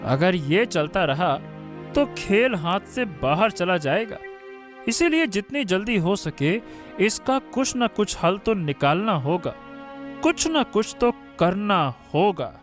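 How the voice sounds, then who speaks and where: Cartoon villain son, male actor: high voice, sneering, contemptuous, rich and scheming, faint music behind. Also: very animated, slow pace, full character voice. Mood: plotting. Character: arrogant, plotting grown son of a rich family. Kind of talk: Hindi cartoon moral-story dubbing.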